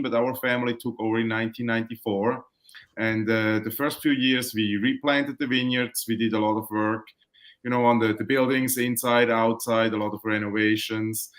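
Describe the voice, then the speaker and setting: A man from Switzerland, a winemaker, chatting relaxed and rambling on a video call, often correcting himself mid-sentence. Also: fairly low pitch. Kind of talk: casual video call interview. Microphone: webcam and computer mic on a video call.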